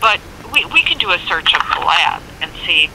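An American government records officer explaining things informally and haltingly over a phone speaker, in a helpful way. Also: mid-range voice, sing-song, sharp tone. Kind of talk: casual explanatory phone conversation. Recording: noisy speakerphone call picked up outdoors.

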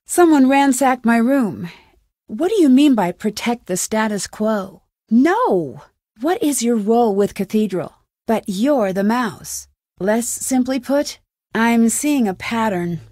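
A young woman playing an inquisitive, earnest young female detective in a video game, speaking in a clear, measured way. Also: on the high side, a little mellow, dry recording, clean studio sound. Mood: alarmed. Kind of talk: scripted video game voice acting.

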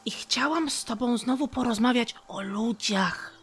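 A woman playing a lively, affectionate, chatty red squirrel in an audio drama, eager and effusive. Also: on the high side, unhurried, husky. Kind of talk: audio drama character acting.

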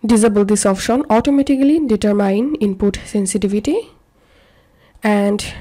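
A woman narrating a step-by-step software tutorial in short, instructional phrases. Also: mid-range voice, moderate pace. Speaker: tutorial presenter.

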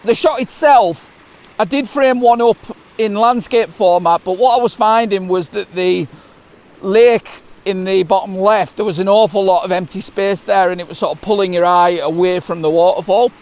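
British man, middle-aged, raised projecting voice, casual vlog explanation, outdoors, rushing water behind. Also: high voice. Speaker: landscape photography vlogger. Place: outdoors on a hillside beside a waterfall.

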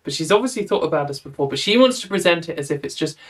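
A woman's voice: sits low, expressive, racing. A young woman hosting a casual chat over a video call, talking in a chatty way and laughing often. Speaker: host.

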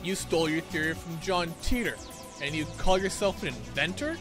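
Guy doing bombastic outraged young student from a visual novel, raised shouty voice, loud music behind. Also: fairly high pitch, animated, normal speed, pitched above the performer's own voice, natural voice. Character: indignant, paranoid, theatrical young male student.

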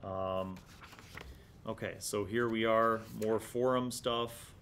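A middle-aged man hosting a tabletop gaming retrospective, talking casually and reflectively, explaining things in halting phrases with repeated words, into a studio microphone. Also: lowish voice, steady tone, a bit bright, a little hiss or hum. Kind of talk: casual commentary on old gaming magazines. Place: small indoor studio room. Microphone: large desktop studio microphone.

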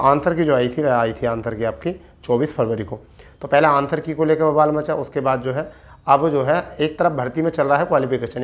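A man's voice: medium pitch, dull audio. A man gives an informal explanatory update to camera, repeating his points for emphasis. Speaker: exam-update video presenter. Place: small plain room indoors. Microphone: clip-on lapel mic.

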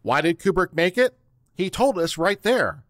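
A middle-aged man giving a theatrical, deliberate dramatic reading of a written text, close on the microphone. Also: sits high, very animated, fairly bright tone, no room sound. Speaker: host reading a document aloud. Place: small home studio room. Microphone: close microphone on a boom arm.

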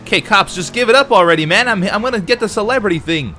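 A man's voice: high-pitched, fast, a little hiss or hum. A man with a cold and a slightly nasal voice, chatting casually as he plays a video game for viewers. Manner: chatty, excitable, talks to viewers. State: has a cold, a bit nasal. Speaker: let's play host. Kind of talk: casual gameplay commentary.

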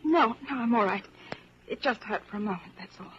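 A woman's voice: on the high side, expressive, a little fast, a bit bright. An actress playing a guarded young woman in a 1940s radio drama, with hesitant, restrained delivery. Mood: pained, guarded. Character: guarded young dark-haired woman, a party comrade. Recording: muffled, noisy 1940s radio broadcast.

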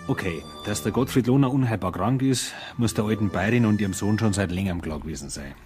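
Actor doing tired bavarian cop, dry n laconic, kinda low, radio crime drama, music behind. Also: male voice, quick pace, darkish tone, close to an everyday voice. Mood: dry, reasoning. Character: weary, dry Bavarian police detective. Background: background music.

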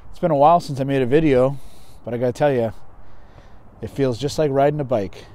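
A man's voice: mid-range voice, a little fast, dark tone. A middle-aged man talking casually as the host of an outdoor vlog. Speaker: video host. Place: outdoors on open gravel ground near an overpass. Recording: outdoor noise, wind or traffic.

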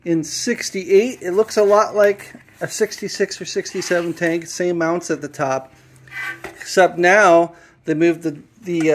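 A man explaining his motorcycle restoration step by step in a home garage workshop, matter-of-fact and full of fillers. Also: fairly high pitch. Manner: plain, matter-of-fact, with frequent fillers and self-corrections.

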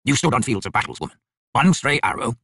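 A man puts on a raspy tyrant king from a fantasy audiobook. He is arrogant, bitter and wordy, his scornful speech swinging widely in pitch. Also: big pitch swings, fast, pushed and bright, no echo, studio quality, exaggerated voice. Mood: scornful, taunting. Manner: scornful, verbose, theatrical.

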